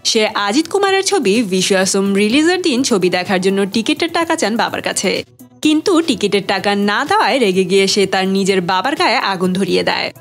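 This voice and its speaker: A woman's voice: mid-range voice, sing-song, fast. A woman narrating scripted celebrity gossip in a presenterly way, putting rhetorical questions to viewers. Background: music.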